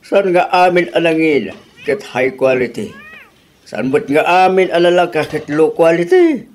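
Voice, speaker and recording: A man's voice: sing-song, normal speed. A man with an Ilocano accent voicing a thrifty old father who lectures his daughter in a radio drama. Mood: lecturing. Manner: lecturing, swooping pitch.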